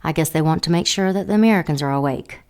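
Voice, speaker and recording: A woman playing a seductive female dark angel who teases and mocks in an audio drama. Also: low voice, fast, smooth, natural voice. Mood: teasing. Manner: teasing, sultry, mocking.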